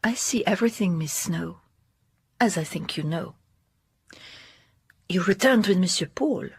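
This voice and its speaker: An actress playing a watchful, coolly controlling schoolmistress in a period drama, speaking in a deliberate, breathy, insinuating way. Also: female voice, lowish voice, lively intonation, dry recording, full character voice. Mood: silky menace. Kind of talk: period audio drama acting.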